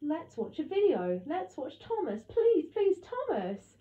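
A woman's voice: high voice, wildly swooping pitch, measured pace, reverberant, narrow, tinny audio, voice pushed up, full character voice. A woman voicing a tearful, begging, very young boy in an audiobook story. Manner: begging, weepy.

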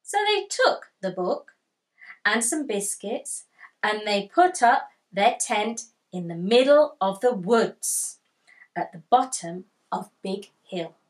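A woman in her thirties reading aloud to young children as a storytime host, with deliberate emphasis and pauses to ask listeners questions. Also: medium pitch, lively intonation. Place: small indoor room with bookshelf.

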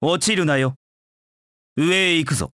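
An actor voicing a proud, cold dark knight in a video game, with curt, haughty, disdainful delivery. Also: male voice, on the high side, a little slow, no room sound, studio quality. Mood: confident, aloof. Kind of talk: video game voice acting in short battle lines.

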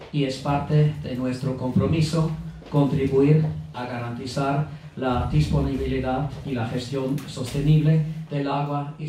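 A middle-aged man delivers a formal welcome address into a handheld mic, his voice dark and mellow and his pace slow, broken by pauses and fillers. He is heard from across an echoey reception room. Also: mid-range voice, slight background noise. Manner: unhurried, with frequent pauses and fillers, self-correcting. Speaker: ambassador giving a welcome speech. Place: reception room at an embassy, indoors. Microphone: handheld microphone at a lectern. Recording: echoey room, picked up from a distance.

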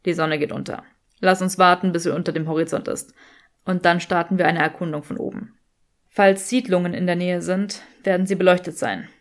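A woman's voice: on the low side, steady tone, a little fast, natural voice. A woman voicing a smug, sardonic young male wizard with a dry, teasing manner, in an audiobook story. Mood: cool, decisive. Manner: dry, teasing, self-satisfied.

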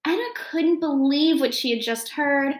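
High-voiced young woman reading a storybook aloud. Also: unhurried, reverberant. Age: young adult. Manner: addresses young listeners with questions and comments. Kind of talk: children's picture book read-aloud with asides. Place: small home room, indoors.

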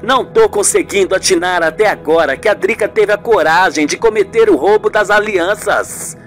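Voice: medium pitch, big pitch swings, normal speed, soft background music. A performer voicing an upset, doubtful grown man, a son in a radio soap, plaintive and questioning. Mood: confused. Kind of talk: radio soap opera acting.

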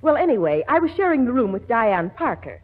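A brisk hotel guest in an old radio comedy. Her voice is husky and lively, on a tinny, narrow 1940s recording. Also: a little high. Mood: puzzled, brisk.

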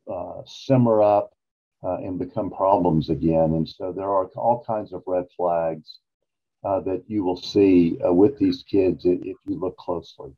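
A man in his sixties explaining things as an expert guest in a measured, emphatic way on a video call. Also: sits low, creaky. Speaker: psychologist interview guest. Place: small office, indoors. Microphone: video call computer microphone. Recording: dull, lo-fi video-call audio.

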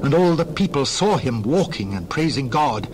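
A man narrating a dramatized Bible reading in a measured, formal storytelling manner. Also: medium pitch, sing-song, crowd noise, rough recording. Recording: clear background noise.

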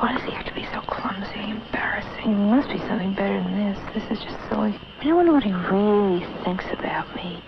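A nervous teenage girl's thoughts voiced by a young woman, murmured in hushed, self-doubting half-whispers with a gravelly edge. The sound is a dull old film soundtrack with clear hiss underneath. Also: mid-range voice, natural voice. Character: anxious teenage girl on a date. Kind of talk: inner-monologue voice-over.

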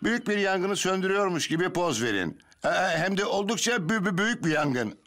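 A man dubbing an eager, flattering photographer directing poses in a children's cartoon. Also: high-pitched, a little fast, soft background music. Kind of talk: animated children's cartoon dub.

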